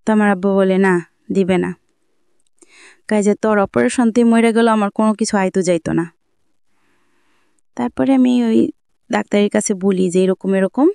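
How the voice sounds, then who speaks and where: A woman's voice: mid-range voice, moderate pace, gravelly, pauses often, mellow, clean tone, no room sound, studio quality. A young woman with a colloquial Dhaka-area Bangladeshi Bengali accent tells her life story in a plain-spoken, repetitive, rambling way. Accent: Bangladeshi Bengali, colloquial Dhaka-area dialect. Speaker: guest telling own life story.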